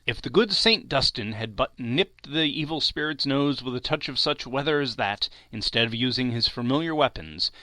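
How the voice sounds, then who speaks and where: Guy reading a classic story, expressive and theatrical, sharp forceful voice, big pitch swings. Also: moderate pace, few pauses, no room sound. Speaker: audiobook narrator. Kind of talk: expressive scripted storybook narration.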